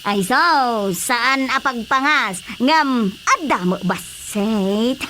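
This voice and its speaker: A woman acts a boastful, chummy neighbour in a Filipino radio comedy. Her voice is high, with a Filipino accent, full of gushing drawn-out exclamations and teasing, over some background noise. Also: animated, pushed and bright, heavily acted. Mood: teasing, breezy. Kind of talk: radio drama comedy acting.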